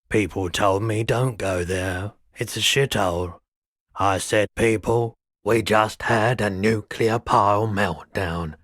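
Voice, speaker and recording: Low, slow, spaced-out pawnshop keeper. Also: male voice, a bit bright, no room sound, clean studio sound, exaggerated voice. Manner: laid-back, polite, musing, faintly odd. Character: weary, spaced-out male pawnshop keeper, gentle and eccentric. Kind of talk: video game character dialogue.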